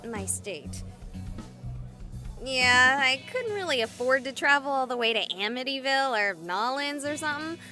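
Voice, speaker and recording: Bubbly high-pitched young streamer girl. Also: sharp tone. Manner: perky, playful, slangy. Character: bubbly, cheeky young female livestreamer on a ghost hunt. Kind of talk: acted livestream chatter in a visual novel.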